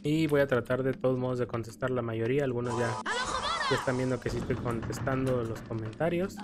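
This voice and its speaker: A man with a Mexican accent streams a video game and comments casually as he plays. He rambles in loose slang with some playful swearing. Game music plays clearly behind his voice. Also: medium pitch, a little fast.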